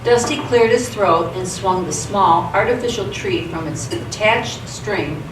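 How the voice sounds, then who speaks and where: A woman narrates a radio theatre story from a script in a mid-pitched voice. She sounds set back from the mic in an echoey space, with clear background noise. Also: unhurried, distant mic.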